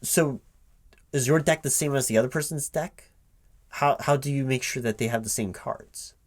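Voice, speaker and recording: Male, mid-pitched, for spoken ASMR roleplay as a recruiting manager; improvised, hesitant, confused questioning. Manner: halting, puzzled, repeating things back as questions. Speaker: performer playing a recruiting manager interviewing a job applicant.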